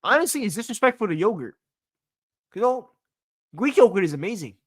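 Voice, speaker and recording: A man's voice: sits high, sing-song, fairly quick, breathy edge. A young man hosting his own sports talk show, venting in a casual, exasperated rant full of repeats and swearing.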